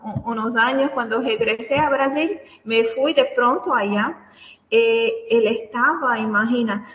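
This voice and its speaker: Brazilian woman, native Portuguese speaker, hesitant guest voice, slightly high, roomy far-off audio. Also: dull audio. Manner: halting, searching for words. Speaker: guest engineer. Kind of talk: radio interview answers.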